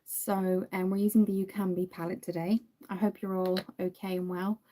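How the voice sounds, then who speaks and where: Chatty Irish woman, warm mellow voice. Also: mid-range voice, flat delivery, moderate pace. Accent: Irish, Dublin. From Ireland. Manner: chatty, apologetic, greets viewers by name, frequent ums. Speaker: makeup livestream host. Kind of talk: casual live-stream chat while doing makeup.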